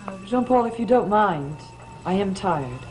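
An actress plays an earnest young woman in an old gothic soap. Her mid-pitched voice is gentle and concerned. Music runs behind her on the old soundtrack. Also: expressive, unhurried, natural voice. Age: young adult. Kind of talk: 1960s gothic soap opera acting.

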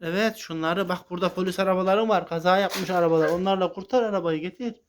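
A woman's voice: lowish voice, fast talker. Woman, encouraging and coaxing, casual child-directed play talk, faint background noise with small clicks. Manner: coaxing, encouraging, giving simple prompts. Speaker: adult playing along with a young child.

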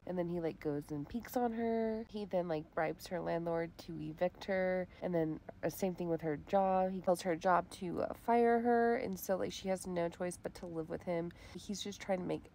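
Chatty young woman with a low voice. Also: normal speed, vocal fry, hardly any pauses, dark tone, slight background noise. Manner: chatty, rambling, lots of "like" fillers. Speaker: book vlogger. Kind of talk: casual reading vlog chat.

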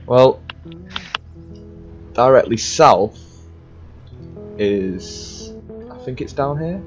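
A man's voice: medium pitch, lively intonation, very slow. A young man improvising casually in character in a video game roleplay. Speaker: gaming roleplayer. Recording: dull lo-fi game mic, music behind.

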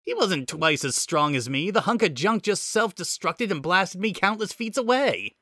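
A man's voice: medium pitch, lively intonation, measured pace, pushed and bright, dry recording, studio quality. A man voicing a cocky, joking young male robot in a story reading, brash and easily indignant, with a grumbling, playful manner. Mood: defensive.

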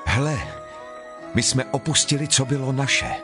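A man's voice: mid-range voice, natural voice. A man playing an earnest disciple addressing his master in a dramatized scripture dialogue. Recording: music behind the voice.

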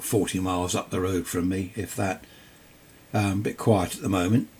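Older english guy from the south, lowish voice, rambly casual hobby demo with asides. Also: slight background noise. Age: older, 60s or 70s. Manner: rambling, chatty, asides and self-questions. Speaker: amateur radio hobbyist presenting a video. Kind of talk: casual unscripted product demonstration. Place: cluttered indoor home radio workshop.

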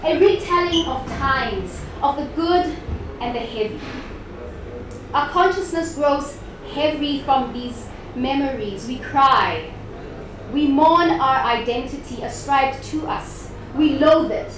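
A woman with a South African accent performing spoken-word poetry in a dramatic, deliberate, emphatic way, with pauses and repetition. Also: high voice. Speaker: poet performer. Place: live event in an echoey indoor venue. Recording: echoey room, distant mic, clear background noise.

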